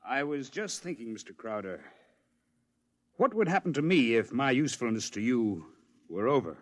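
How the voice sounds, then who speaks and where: An actor playing a long-serving employee in a radio drama, subdued and deferential. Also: male voice, mid-range voice, sing-song, normal speed. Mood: uneasy.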